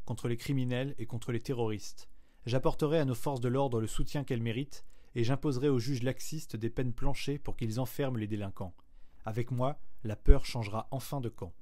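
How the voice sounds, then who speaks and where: A man reading a printed document aloud in a measured, declamatory way, pressing harder on key phrases. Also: medium pitch, mellow. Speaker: reader.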